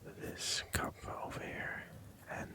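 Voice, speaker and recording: A soft, breathy whisperer, rambling gently for ASMR with hesitant pauses and repeated phrases. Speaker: ASMR video creator.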